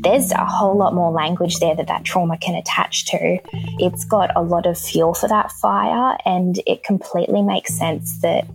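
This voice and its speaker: A woman's voice: on the low side, fairly even intonation, normal speed, creaky, faint music behind, rough recording. A woman counsellor speaking in an interview, explaining in a conversational way. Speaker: counsellor and speech pathologist.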